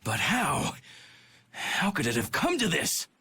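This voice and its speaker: A pompous knight officer in a video game, blustering and indignant. His voice is high and gravelly, with a raspy edge, and his delivery swings wide and lively. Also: slow pace, full character voice. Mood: stunned. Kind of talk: game voice acting.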